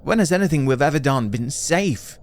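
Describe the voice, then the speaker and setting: A man's voice: on the high side, lively intonation, normal speed, voice pushed up, close to an everyday voice. A man voicing a scornful, indifferent young girl in an adventure story, scoffing and dismissive.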